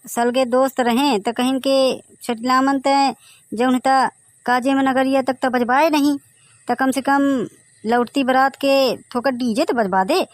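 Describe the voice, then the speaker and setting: A man voicing a brash young village fellow in a Bagheli comedy cartoon, with a high boyish voice, a Bagheli accent and a pushy, argumentative way of talking. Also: steady tone, a little slow, dry recording. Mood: excited, bossy. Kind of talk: dialect comedy cartoon voice acting.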